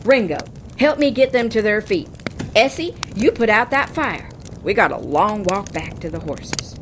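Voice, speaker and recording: A woman's voice: medium pitch, animated, normal speed, lightly acted. A woman playing a tough, plain-spoken, kind-hearted cowgirl bounty hunter with folksy Old West talk in a western audio drama for children. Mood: brisk, commanding.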